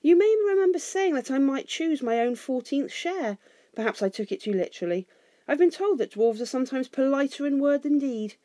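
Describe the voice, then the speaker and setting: A woman playing a small, timid but politely indignant male hobbit in a storybook, fussy and squeaky. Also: lively intonation, brisk, mellow, no room sound. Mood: flustered, polite.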